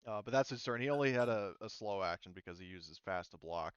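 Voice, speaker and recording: A man with a smooth, clear voice acts as game master for a tabletop game. He narrates in a casual, hesitant way, working things out aloud. The recording is very dry and somewhat dull. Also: lowish voice, lively intonation, fast, no echo.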